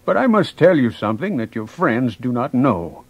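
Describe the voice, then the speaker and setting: A man playing a kindly, gentle, wise old woodcarver with a husky voice in a children's story drama. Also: mid-range voice, very animated, normal speed, no echo, highs cut off. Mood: sad, resigned.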